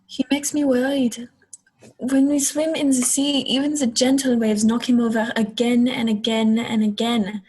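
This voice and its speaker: Husky, plaintive young girl played by a woman. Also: on the high side, level tone, normal speed, darkish tone, low-quality recording. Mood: worried. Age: young adult. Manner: plaintive, questioning. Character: young girl, worried, questioning older sister. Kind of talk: radio play acting.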